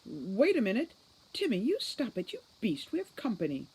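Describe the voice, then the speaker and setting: A woman's voice: medium pitch, wildly swooping pitch, normal speed, a little mellow, breathy edge, voice pushed up, exaggerated voice. A woman voicing a giddy, deluded mother who acts like a honeymooning bride in a storybook, airy and playful. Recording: faint background noise.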